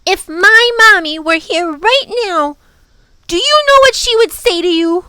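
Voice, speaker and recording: A woman's voice: sits high, expressive, slow pace, bright, forceful tone, no room sound, pitched above the performer's own voice, exaggerated voice. A woman voicing an upset, outspoken little girl in a children's story, protesting with prim, scolding emphasis. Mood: indignant.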